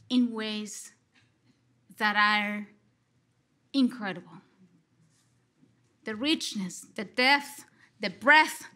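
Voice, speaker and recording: A middle-aged woman from Colombia with a Colombian Spanish accent, giving an expressive conference response from a lectern on a large stage, speaking into a headset mic. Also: fairly high pitch. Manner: expressive, gesturing, slow and deliberate. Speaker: lecture respondent, psychology professor.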